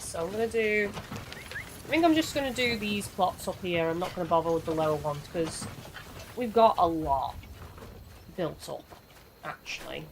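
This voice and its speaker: A woman's voice: fairly low pitch, background noise. Chatty woman streaming a game. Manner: chatty, rambling, chats with viewers. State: losing her voice. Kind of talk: live gameplay commentary.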